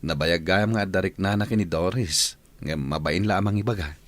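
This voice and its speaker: A man's voice: low-pitched, normal speed, no echo, natural voice. A man with an Ilocano accent playing a cheerful engineer boss and eager suitor in a village radio comedy drama. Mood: pleased.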